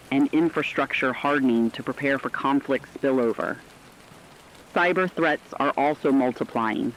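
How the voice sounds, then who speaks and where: A man's voice: mid-range voice, dull audio, natural voice. A man playing a serious radio war analyst, giving formal, sober and emphatic commentary in a scripted emergency broadcast. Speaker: news analyst.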